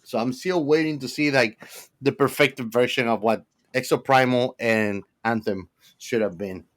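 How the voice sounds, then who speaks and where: A man's voice: mid-range voice, normal speed, fairly bright tone. A man co-hosting a podcast, chatting casually in an informal, slangy way. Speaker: podcast co-host. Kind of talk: casual chat.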